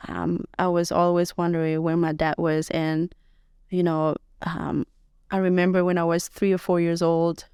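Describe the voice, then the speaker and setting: Soft-spoken young woman, clear dry voice. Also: fairly low pitch, no room sound. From Thailand-Burma border. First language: S'gaw Karen. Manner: soft-spoken, hesitant, unhurried, many fillers. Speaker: interview guest. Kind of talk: personal life story interview. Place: podcast studio.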